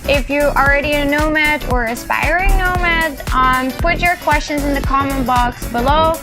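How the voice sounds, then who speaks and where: High-pitched woman hosting an interview. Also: moderate pace, loud music behind the voice. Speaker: host interviewer. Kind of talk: casual online interview show.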